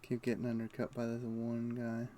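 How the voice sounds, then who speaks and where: A man's voice: medium pitch, completely flat delivery, normal speed, warm tone. A man doing casual video game stream commentary, muttering to himself and explaining things offhandedly. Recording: faint background noise.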